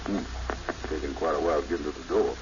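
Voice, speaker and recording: A man acting a naive, slow-witted, lovestruck suitor with folksy, ungrammatical speech in a 1950s radio sitcom. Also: rumbling low. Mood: eager, curious. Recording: very noisy, dull vintage radio broadcast.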